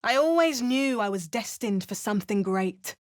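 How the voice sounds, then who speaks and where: A woman's voice: mid-range voice, lively intonation, normal speed, natural voice. A woman voicing a wry, resolute female adventurer in a video game, making dry understated remarks and narrating. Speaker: player character.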